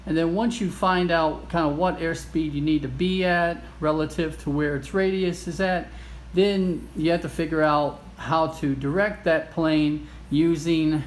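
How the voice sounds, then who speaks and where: A man hosting a casual hands-on game demonstration, explaining things informally with a self-amused, nerdy enthusiasm. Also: on the high side. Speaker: host of a retro game play-through video. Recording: clearly noisy amateur recording.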